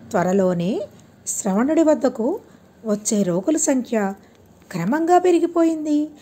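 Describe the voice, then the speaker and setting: A woman reading a scripted moral story aloud as an audiobook storyteller, in an expressive, measured way. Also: on the high side, husky.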